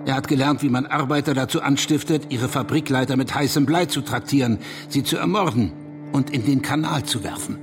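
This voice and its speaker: A man's voice: medium pitch, a little fast, music in the background, close to an everyday voice. An actor playing an indignant conservative man in a German radio drama, accusatory and contemptuous, given to rhetorical questions. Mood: accusing. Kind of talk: German radio drama dialogue, polemical debate.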